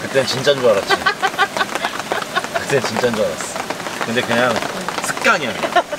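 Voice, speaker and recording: A man's voice: mid-range voice, sing-song, a little fast. A man chatting and teasing friends while camping outdoors under a tarp. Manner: joking, teasing banter. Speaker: camper. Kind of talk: casual chat. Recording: loud rain noise throughout.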